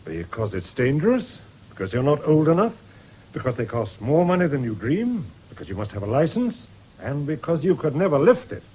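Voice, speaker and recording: An actor with an English accent playing a genial but firm country doctor in a radio drama. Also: male voice, fairly high pitch, big pitch swings, brisk, warm tone, husky, rough recording.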